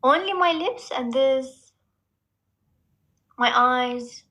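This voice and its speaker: A woman's voice: high voice, extremely slow, sharp tone. A woman from Lebanon chatting playfully as a guest on a livestream, mixing English and Arabic. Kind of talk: casual livestream chat.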